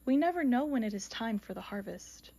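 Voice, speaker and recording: A woman playing an all-knowing, solemn female reaper in an audio mystery drama, with measured, oracular delivery. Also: a little high, lively intonation, creaky, mellow. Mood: solemn, consoling.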